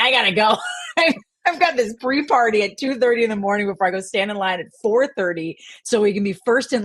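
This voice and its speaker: A woman's voice: medium pitch, husky, no room sound. A woman in her forties from Wisconsin, a sports journalist guest, telling stories with lots of laughs. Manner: animated storytelling, laughs often. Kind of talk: casual chat.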